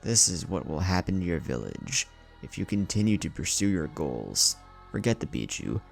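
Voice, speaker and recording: Young male ninja hero man narrator reading, storybook drama: grave, firm, quiet, deep gravelly register. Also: sing-song, moderate pace, faint music behind, heavily acted.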